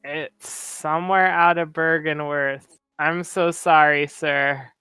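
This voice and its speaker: A young man playing a tabletop roleplaying game, speaking in character in a halting, stammering way. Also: fairly high pitch, fairly even intonation, sharp tone, smooth, dry recording, soft background music. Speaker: player.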